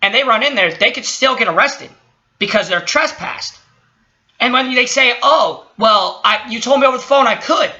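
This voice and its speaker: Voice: medium pitch, moderate pace, sharp tone, lo-fi sound. An indignant commentator giving impromptu, opinionated reactions, swearing and stressing points emphatically. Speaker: commentator reacting to a recorded call.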